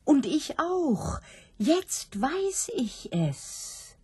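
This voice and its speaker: A woman acting an anguished female pig in a radio play, with a husky, breathy voice. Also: high-pitched, very animated, drawn-out words, warm tone, full character voice. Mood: stricken, resigned.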